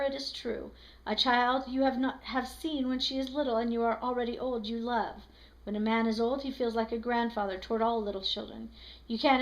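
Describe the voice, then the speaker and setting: A woman voicing a calm, solemn old man who was once a convict, speaking slow, low and quiet. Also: close to an everyday voice, low-quality recording.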